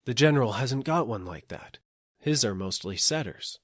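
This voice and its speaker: A dutiful, plodding policeman played by a male narrator reports to his superior in a storybook. He sounds earnest and deferential, in a mid-pitched voice with a dry, close recording. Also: lively intonation, normal speed, dry recording, natural voice. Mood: sure, dutiful. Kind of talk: audiobook character acting.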